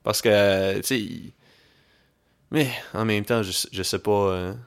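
A young man with a Québécois French accent chats casually on his podcast. His voice sits low and he tells stories in an easygoing way, slipping in English slang. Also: slow, creaky, smooth. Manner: relaxed, laid-back storytelling with English slang mixed in. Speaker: podcast co-host. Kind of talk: casual chat.

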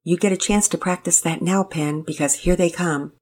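A woman reading a gentle, earnest mother. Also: fairly low pitch, moderate pace, natural voice. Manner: calm, earnest, counseling. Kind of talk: storybook reading.

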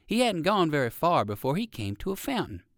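A man narrating a children's storybook in an expressive way, with chatty asides to young listeners. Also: a little high, brisk, dry recording, clean studio sound. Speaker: audiobook narrator.

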